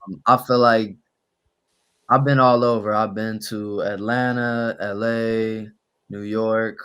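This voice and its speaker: A young man from New Orleans with a raspy voice, a guest rapper talking in a laid-back, measured way with frequent pauses in a casual podcast interview. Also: fairly low pitch, steady tone, a bit bright. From New Orleans, USA.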